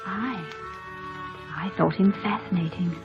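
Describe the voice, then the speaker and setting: A woman's voice: medium pitch, a little slow, natural voice. A woman playing a headstrong, genteel young lady in an old-fashioned radio drama style. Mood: provoking, fascinated. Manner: genteel, pert, forthright. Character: headstrong, fascinated young gentlewoman. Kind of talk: 1940s radio drama acting. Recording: dull old radio broadcast, music behind.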